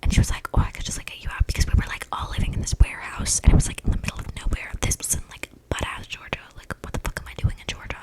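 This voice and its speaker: A breathy whisper carries this eccentric young female vampire, played by a woman in an ASMR roleplay. She rambles like a chatty loner, slangy and flippant, with deadpan asides. The microphone is close enough to catch her breaths. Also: rapid-fire, hardly any pauses, close to an everyday voice. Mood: chatty, confiding. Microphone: close microphone picking up breaths.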